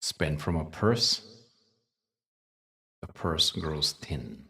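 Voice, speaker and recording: A man leading a guided meditation in a soothing, measured way, leaving long pauses between short phrases. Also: rumbling low, lively intonation, vocal fry, pushed and bright, recorded from a distance. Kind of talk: guided meditation with spoken teaching.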